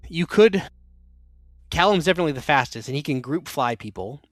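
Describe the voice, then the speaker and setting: A man's voice: fairly high pitch, lively intonation, a little fast, no room sound. A man acting as game master, explaining rules and setting up the scene for his players. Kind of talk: running a tabletop game.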